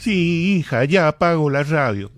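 A man's voice: fairly high pitch, expressive, a little slow, heavy background noise. A man playing a meek old pensioner grandfather in a radio drama, with an Argentine accent. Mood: meek, compliant. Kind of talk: amateur student radio drama acting.

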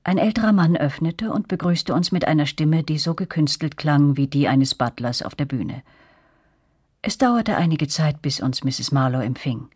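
A woman's voice: low voice, animated, normal speed, a little mellow, slightly rough, no echo, clean studio sound. An actress playing a woman who looks back on events as the descriptive first-person narrator of a radio drama. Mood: reflective.

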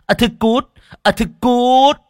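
A man's voice: extremely high pitch, steady tone, slow pace, no echo, clean studio sound, pitched above the performer's own voice. A man voicing a callous rich village landlord in a storybook, sputtering vulgar, scornful abuse. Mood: furious, scornful.

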